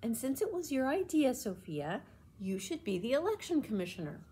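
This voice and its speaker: A woman voicing an encouraging, earnest storybook schoolteacher, explaining things in a measured, emphatic way. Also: high-pitched, big pitch swings, dark tone, a little hiss or hum, pitched above the performer's own voice.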